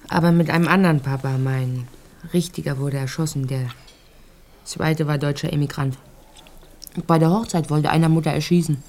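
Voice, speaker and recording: An actress in a radio drama playing a talkative, naive and frank young Ukrainian-born woman who tells her life in a rambling, conversational way. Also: low-pitched. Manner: rambling storytelling, occasional hushed phrases.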